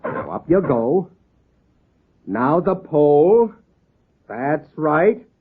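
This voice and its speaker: A man playing a patient, folksy circus seal trainer, coaxing and a little halting, in a 1930s radio serial. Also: fairly high pitch, sing-song, breathy edge, full character voice. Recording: tinny, band-limited old radio recording.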